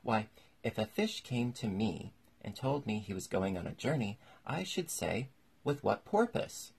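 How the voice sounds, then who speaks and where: A solemn, self-important old-fashioned turtle creature from a storybook, performed by a man. It is very husky and creaky, ponderous and quick to take offence. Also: fairly low pitch, big pitch swings, darkish tone, heavily acted. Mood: offended. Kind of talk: storybook character voice.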